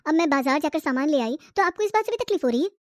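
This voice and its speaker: A woman's voice: high voice, animated, rapid-fire, dry recording, clean studio sound. A woman voicing an outspoken young sister who argues and protests defiantly in a cartoon family story. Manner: argumentative, protesting.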